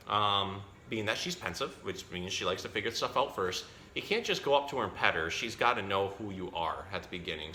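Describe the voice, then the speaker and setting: Bright, low-pitched man in a roomy space. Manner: explains simple words, self-correcting, chatty. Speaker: zookeeper presenting an animal storytime. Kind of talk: casual educational talk for children. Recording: roomy echo, faint background noise.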